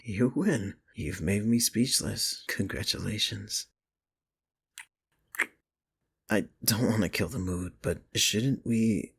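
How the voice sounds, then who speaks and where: Male actor as lovestruck workplace rival, raspy, creaky low register, teasing, flustered, close intimate ASMR roleplay delivery. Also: heavily acted. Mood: tender, breathless. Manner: intimate, teasing, halting confessions to a listener.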